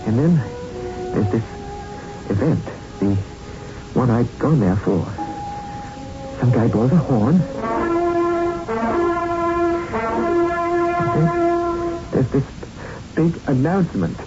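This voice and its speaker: An actor in a 1970s radio drama playing a tough, haunted young boxer who tells his story in a halting, intense, streetwise way. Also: medium pitch, sing-song, loud music behind the voice. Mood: earnest, recounting. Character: haunted young male boxer, tough, plain-spoken, shaken. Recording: muffled, noisy 1970s radio recording.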